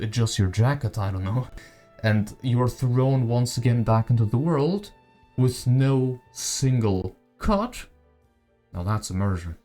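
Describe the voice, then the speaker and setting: A man with a mellow, dark voice delivers video game commentary in a conversational way. He talks like an online creator with a wry streak, and soft music plays behind his voice-over. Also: mid-range voice. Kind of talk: video essay commentary on video games. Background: background music.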